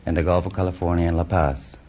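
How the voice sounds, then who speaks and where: A man in his late thirties from Spain, with a Spanish accent, answering a quiz host earnestly in halting English. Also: low voice, steady tone. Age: 30s. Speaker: quiz contestant. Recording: muffled 1950s radio transcription.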